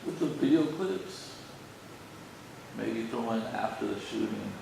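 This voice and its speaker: Man, strong vocal fry, audience member, informal comments, distant mic, background noise. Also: lowish voice, lively intonation, slow pace. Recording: echoey hall, distant mic, noisy.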